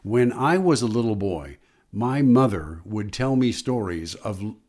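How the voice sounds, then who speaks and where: A man's voice: fairly low pitch, lively intonation, a little slow, darkish tone. A middle-aged or older man, a voice actor, speaking casually as he shares personal recollections. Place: his own studio.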